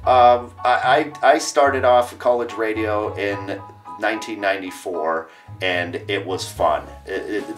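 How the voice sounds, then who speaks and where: A man's voice: low voice, a bit bright, far from the mic. A man in his thirties who works in radio, talking candidly in a documentary interview indoors. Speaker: radio station music staffer. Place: indoors on a staircase.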